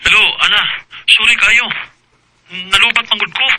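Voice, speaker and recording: A defensive young man in a radio drama, a woman putting on his voice. He pleads and apologizes with a bright, edgy tone set well below her own pitch. His manner stays sheepish but insistent. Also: fairly even intonation, moderate pace, rough recording. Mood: pleading.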